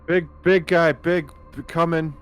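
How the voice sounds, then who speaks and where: A man's voice: fairly high pitch, fairly even intonation, a little slow, a bit bright, clean tone, faint music behind. A man voicing a panicked colonial marine in a tabletop game, blurting short, broken, repeated phrases. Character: panicked colonial marine stammering about a big creature. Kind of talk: tabletop roleplay in character.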